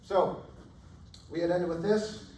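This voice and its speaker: A man lectures on chemistry in a relaxed, chatty way, keeping the class with him through frequent "right?" checks. His somewhat high voice is getting hoarse. He is picked up from across an echoey lecture hall with faint background noise. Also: slow pace, recorded from a distance. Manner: casual, checks in often with "right?". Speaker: chemistry instructor.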